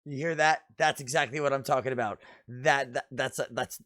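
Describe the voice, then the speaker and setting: A man in his forties with a deep, raspy voice, chatting enthusiastically and casually as he reacts to music. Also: steady tone, brisk, a bit bright, no echo, studio quality.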